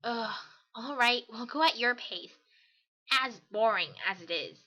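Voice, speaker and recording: Woman doing a cocky girl pegasus pony, super bright forceful voice, swoopy, cartoon fanfic drama, bit lo-fi. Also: on the high side, unhurried, heavily acted. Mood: impatient. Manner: cocky, casual, energetic. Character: brash, confident, speed-loving young female pegasus pony. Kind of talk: fan fiction audio drama.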